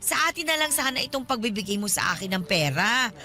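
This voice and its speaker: An elderly Filipina godmother in a radio drama, high-pitched and piercing. She is nosy and grasping, laying on guilt with wheedling, dramatic reproach. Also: sing-song, quick pace, bright, forceful tone, soft background music. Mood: plaintive, conniving. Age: older.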